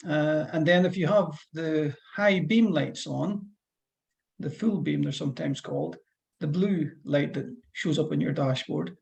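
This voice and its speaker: Brief: Fife-accented driving instructor, middle-aged man, fairly high voice with heavy rasp, talky and meandering. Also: unhurried, darkish tone, dull audio. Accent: Scottish, Fife. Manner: chatty, rambling explanations with restarts. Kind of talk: one-to-one lesson over a call.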